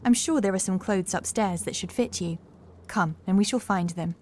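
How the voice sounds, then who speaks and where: A woman's voice: medium pitch, moderate pace, darkish tone, slight background noise, natural voice. A woman playing a gracious, insistent housekeeper in a horror audiobook, speaking with polite, proper manners. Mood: brisk, hospitable. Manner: polite, genteel, proper phrasing. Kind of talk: acted audiobook dialogue.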